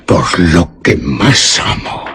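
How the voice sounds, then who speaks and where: An ardent young nobleman, low-voiced. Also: expressive, a crowd in the background, full character voice. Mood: tender. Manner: impassioned, slow theatrical declamation. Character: lovestruck young nobleman, ardent, dreamy and obsessed. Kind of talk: Spanish radio drama acting.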